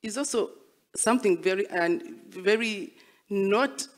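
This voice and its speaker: A woman's voice: medium pitch, a little slow. A woman speaking reflectively in an interview as an artist, telling stories and repeating phrases for emphasis. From Rwandan, born and raised as a refugee abroad. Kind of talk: reflective spoken interview, storytelling.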